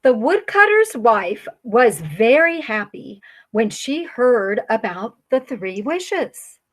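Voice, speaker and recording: A woman's voice: fairly high pitch, big pitch swings, slow pace. A woman teacher narrating a children's storybook tale from a script.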